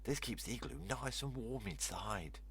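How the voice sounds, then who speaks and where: An eager young boy from a children's storybook, as voiced by a man reading aloud, pitched up from the reader's usual voice. He is helpful and instructive, explaining each step in simple words. The delivery swings in a lively way. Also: normal speed, husky, heavily acted.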